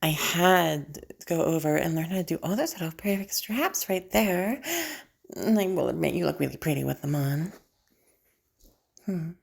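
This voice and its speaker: Casting: actress as unhinged female asylum patient, low-pitched, possessive and flirty, halting teasing delivery, roleplay audio drama. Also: lively intonation, creaky, exaggerated voice. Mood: playfully unhinged. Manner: halting, teasing, with casual swearing.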